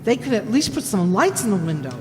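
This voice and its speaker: A woman plays a quick-witted, playful radio detective's sidekick. Her voice swoops expressively with a pert edge, heard in a reverberant hall with light background noise. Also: medium pitch, big pitch swings, natural voice. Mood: pert, wry. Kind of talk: old-time radio detective drama acting.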